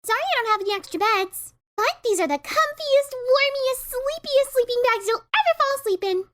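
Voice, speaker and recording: A bubbly young female cartoon pony with a squeaky, extremely high voice. Her delivery swings widely, perky and singsong, full of hyper enthusiasm. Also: expressive, moderate pace, fairly bright tone. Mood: chirpy, proud. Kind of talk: fan-fiction dramatic reading.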